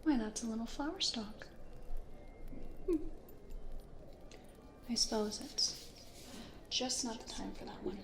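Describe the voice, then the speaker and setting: A woman voicing a gentle, awkward, old-fashioned plant-loving ghost in an ASMR roleplay, soft, formal and half-whispered, in a roomy recording. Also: fairly high pitch, slow, pauses often. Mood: genteel, welcoming. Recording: roomy echo, faint noise.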